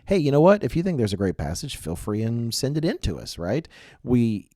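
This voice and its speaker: A man's voice: mid-range voice, very animated, brisk, warm tone, dry recording, clean studio sound. A man co-hosting a casual religious youth podcast in a chatty, self-deprecating way, full of asides, getting over a mild cold. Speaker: podcast co-host.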